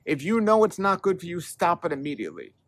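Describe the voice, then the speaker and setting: Man, middle-aged, fairly high voice, dry close sound, earnest exhorting health talk. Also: wildly swooping pitch, fast talker, no echo. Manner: earnest, exhorting, repeats phrases for emphasis. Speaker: health show host. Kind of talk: motivational monologue to camera.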